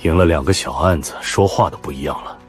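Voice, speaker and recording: Bossy senior lawyer, middle-aged, deep voice, curt, dismissive, animated drama. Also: male voice, fast talker, soft background music. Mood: sarcastic, annoyed. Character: demanding, dismissive senior male lawyer at a firm.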